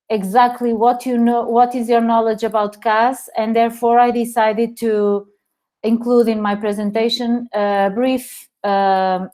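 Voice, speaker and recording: A woman with a Portuguese accent presenting a webinar over a video call, halting often and restarting her sentences. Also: on the high side, a little mellow. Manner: hesitant, frequent pauses and restarts.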